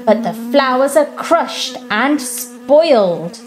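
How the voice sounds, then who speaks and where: A woman in her thirties reading a children's picture book aloud at home, gently and expressively, lifting her voice for the characters' lines. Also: takes it slowly, a little hiss or hum. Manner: gentle, expressive storytelling with raised-pitch character quotes. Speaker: storytime host. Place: home room with bookshelves, indoors.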